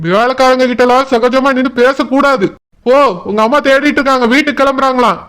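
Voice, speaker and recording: A high-pitched, jealous young man from a village cartoon, acted by a man. He is bossy and threatening, scolding in a Kanyakumari Tamil accent as if everyone were his servant. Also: fairly even intonation, fast, fairly bright tone, clean tone. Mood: angry, threatening. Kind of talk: village cartoon drama acting.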